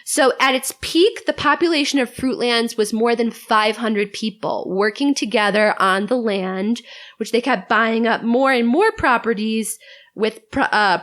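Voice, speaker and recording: A woman's voice: a little high, fairly bright tone. A woman talks through a story as a podcast guest. She is articulate and lightly wry, moving between prepared narration and conversational asides.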